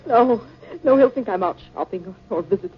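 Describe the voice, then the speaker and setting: An actress playing a frightened married woman in a tense, breathy 1950s radio drama performance. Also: medium pitch, animated, fairly quick, a little hiss or hum, lightly acted. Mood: rising panic. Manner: tense, breathy, hushed to panicked. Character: frightened married woman trapped by a monstrous moth. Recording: muffled, lo-fi 1950s radio recording.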